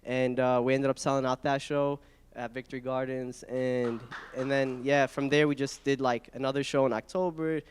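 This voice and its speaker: A man's voice: medium pitch, moderate pace, slight background noise. A young man from Iraq telling casual, slangy stories as a comedian guest, speaking into a handheld microphone on an indoor stage. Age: young adult. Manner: informal, slangy, animated storytelling. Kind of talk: casual spoken anecdotes before an audience. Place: indoor event hall stage, small live audience. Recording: echoey hall through handheld microphone.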